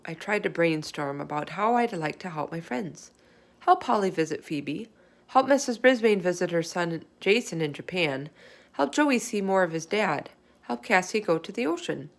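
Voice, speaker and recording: A woman's voice: medium pitch, creaky. A woman voicing a small, kindly male hamster who narrates a children's storybook with earnest wonder. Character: small chatty classroom hamster, male, kind and observant storyteller.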